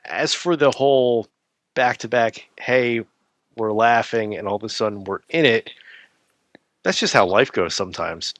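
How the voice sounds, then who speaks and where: A man's voice: medium pitch, measured pace, fairly bright tone, dry recording. A man chatting casually as a guest on an interview, informal and jokey as he explains things through examples. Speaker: podcast guest, adventure novelist.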